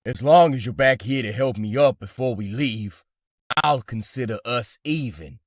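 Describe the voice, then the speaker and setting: A man's voice: medium pitch, animated, normal speed, dry recording, studio quality, natural voice. A man playing a weary, grumbling survivor in an amateur comic dub.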